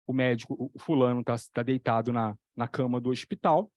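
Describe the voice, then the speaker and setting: A man talking as a psychoanalytic lecturer, telling stories with lively examples and repeating key phrases for emphasis. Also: mid-range voice, fairly quick, dry recording. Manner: animated storytelling, acting out voices in the examples. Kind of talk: explanatory talk on a video channel.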